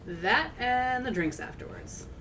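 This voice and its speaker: Woman playing a slow, sardonic crew member. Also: fairly high pitch, expressive, a bit bright, a little hiss or hum, rough recording. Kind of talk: audio drama acting.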